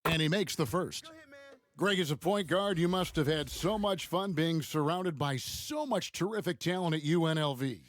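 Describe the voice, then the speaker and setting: A man's voice: a little high, no room sound, soft background music. A man calling basketball play-by-play in short, punchy lines for a video game broadcast. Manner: brisk, punchy play calls. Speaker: play-by-play commentator.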